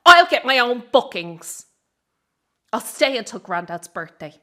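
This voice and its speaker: Bitter, bright-voiced young circus woman, played by an actress. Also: a little high, very animated, measured pace, full character voice. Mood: bitter, furious. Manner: confrontational, sarcastic, sweary. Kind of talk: dramatic radio play dialogue.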